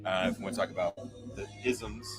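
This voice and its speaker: A man with a booming voice giving opinions in a casual podcast discussion, making his points emphatically in repeated phrases. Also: fairly even intonation, fairly quick. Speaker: podcast host. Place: bar. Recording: loud music behind the voice. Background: loud music.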